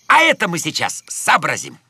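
A man's voice: fairly high pitch, huge pitch swings, moderate pace. A man voicing a grumpy, stubborn know-it-all bear in a cartoon, blustering and whining as he stammers complaints. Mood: bossy, excited. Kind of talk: animated cartoon voice acting. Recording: music behind the voice.

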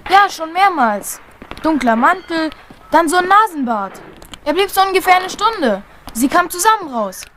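A cheeky lad in a retro radio mystery. The voice is high and lively, eager to report what it has seen, with faint noise behind. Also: female voice, normal speed, heavily acted. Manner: eager, cheeky, matter-of-fact. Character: cheeky, observant young working-class boy witness. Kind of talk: German retro crime radio drama.

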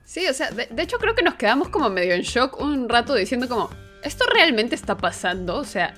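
A woman's voice: on the high side, sing-song, fairly quick, a bit bright, soft background music. A young woman co-hosting a channel, telling a story in a casual, conversational way. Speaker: co-host of a channel.

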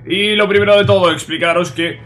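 A man's voice: sits high, fairly even intonation, a little fast, a bit bright. A man commenting on a football game while he has a sore throat, chatty and grumbling, repeating himself. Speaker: gaming YouTuber.

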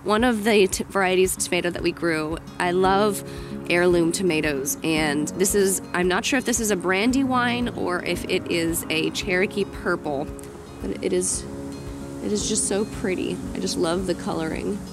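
A woman's voice: lowish voice, moderate pace, music in the background. A woman in her thirties giving an enthusiastic garden tour for her homesteading vlog, recorded outdoors in a garden. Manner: enthusiastic, gushing about plants. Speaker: homesteading vlogger.

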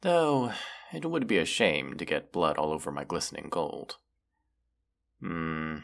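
Man voicing a deep, gravelly, haughty dragon. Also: animated, a bit bright, exaggerated voice. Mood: sly, taunting. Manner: haughty, slow, deliberate, archaic phrasing. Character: proud, menacing, wounded male dragon. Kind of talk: fantasy audio roleplay, theatrical monologue.

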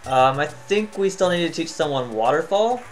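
A tired man giving casual, chatty commentary as he plays a video game, thinking out loud and humming to himself. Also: fairly high pitch, animated, moderate pace, soft background music. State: tired, voice near cracking. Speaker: gaming channel host.

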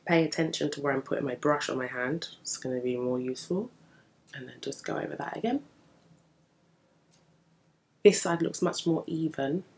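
Young female beauty vlogger, deep gravelly morning voice, chatty upbeat tutorial talk, camera mic indoors. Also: normal speed, long pauses, a little mellow. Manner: chatty, upbeat, explaining while applying makeup. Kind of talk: casual makeup tutorial chat. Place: indoor room in front of a bookshelf. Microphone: camera at desk distance.

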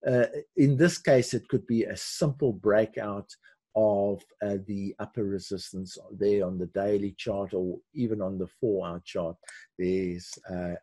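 An older man hosting a live webinar, giving unscripted commentary in a halting way with repeated words and restarts, his voice husky. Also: on the low side, mellow, dry recording. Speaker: webinar host teaching forex chart analysis.

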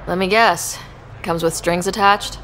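A woman's voice: lowish voice, fairly even intonation, measured pace, fairly bright tone, slight background noise. An actress playing a determined young female space explorer in a video game, direct and wry. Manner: direct, dry. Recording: reverberant rooms, music behind. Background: game soundtrack music.

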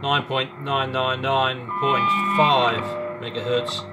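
A middle-aged man presenting a hobby video, explaining casually in a halting, unhurried way. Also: mid-range voice, flat delivery, over loud music. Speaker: amateur radio hobbyist presenter. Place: small indoor radio room.

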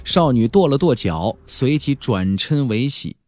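A man's voice: medium pitch, lively intonation, a little slow, a little mellow, no echo. A man narrating a fantasy audiobook in a theatrical, emphatic style, drawing out key phrases for drama. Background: faint background music.

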